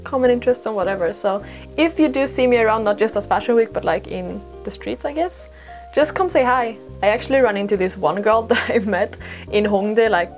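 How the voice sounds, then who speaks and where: A young woman from Sweden, speaking as a non-native, talks to her viewers like a podcast host among friends. Her voice sits at a middle pitch. She tells stories casually, with self-deprecating asides, over faint music. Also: fairly quick. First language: Swedish. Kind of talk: casual chatty storytelling to camera.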